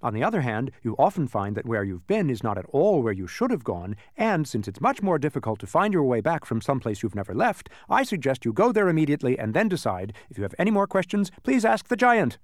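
A man's voice: mid-range voice, very animated, a little fast, few pauses, no room sound, studio quality, pitched above the performer's own voice, close to an everyday voice. A man voicing a cheerful, proud, absent-minded ordinary fellow in a storybook, chirpy and emphatic. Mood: muddled. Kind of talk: audiobook character voice.

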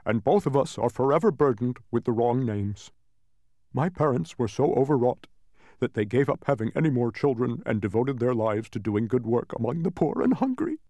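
A man's voice: medium pitch, expressive, normal speed, mellow, dry recording, close to an everyday voice. Man voicing an earnest, proud, oratorical watchdog. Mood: sorrowful. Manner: declamatory, dramatic. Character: earnest proud male watchdog, sentimental, given to lofty speeches. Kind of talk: children's storybook character voice.

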